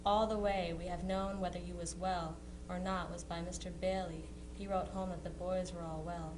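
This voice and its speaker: A woman's voice: mid-range voice, close to an everyday voice. Noisy recording of a woman as chatty sister. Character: chatty, teasing young sister writing to soldier brother. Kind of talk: dramatic reading of Civil War letters. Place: live historical society presentation. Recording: noisy live recording with hum.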